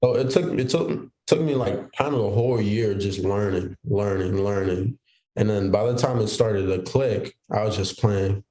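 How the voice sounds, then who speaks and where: A man's voice: on the low side, normal speed, warm tone, roomy sound, poor audio. A young man born in Haiti, a football player guest on a podcast, telling his story in an easygoing way. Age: young adult. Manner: easygoing, storytelling with quoted inner thoughts. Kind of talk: casual interview chat.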